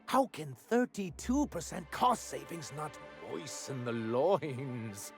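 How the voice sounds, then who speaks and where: A man's voice: fairly high pitch, lively intonation, slow pace, soft background music. An actor playing a flamboyant, vain male corporate executive who talks in a theatrical, florid way full of innuendo. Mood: grandiloquent.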